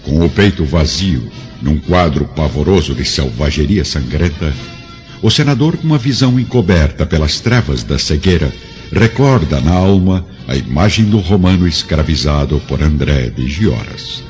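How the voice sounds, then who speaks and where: A Brazilian male narrator with a very deep voice delivers solemn, theatrical radio drama narration. The pitch moves dramatically through each phrase. The recording is somewhat dull, with music behind. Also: expressive, normal speed, a little mellow. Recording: dull lo-fi audio, music underneath.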